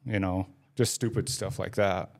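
A man's voice: low voice, fairly even intonation, normal speed, gravelly, a little mellow. Rambling man in his forties. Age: 40s. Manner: rambling, heavy use of "like" fillers. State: laid up with a broken leg. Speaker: podcast guest. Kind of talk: casual storytelling.

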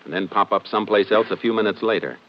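An actor with an American accent playing a calm, methodical Texas Ranger who investigates and narrates in a 1950s radio crime drama. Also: male voice, on the low side, fast, natural voice. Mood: reasoning. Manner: laconic, folksy, matter-of-fact. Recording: muffled, noisy old radio recording.

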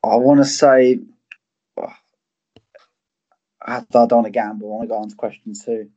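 A man's voice: medium pitch, steady tone, moderate pace, warm tone. Hesitant man, muffled sound. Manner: hesitant, thinks aloud with ums. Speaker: quiz contestant. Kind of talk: casual quiz game chat.

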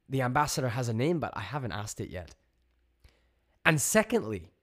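A man's voice: lowish voice, mellow, husky, pitched above the performer's own voice. A man voicing a blunt, irreverent and defiant woman in a science fiction story.

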